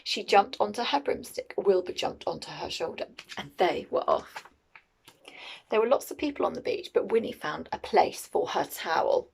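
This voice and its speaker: A woman reading a picture book aloud to children in an expressive, teacherly, questioning way. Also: fairly low pitch, normal speed, vocal fry, husky, poor audio.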